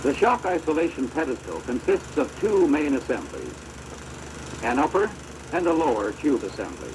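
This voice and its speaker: A man's voice: a little high, big pitch swings. Noisy old training-film narrator, American man. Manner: formal, measured, instructive. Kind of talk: scripted instructional narration. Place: recorded off an old film projector. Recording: noisy, deteriorated 16mm projector soundtrack.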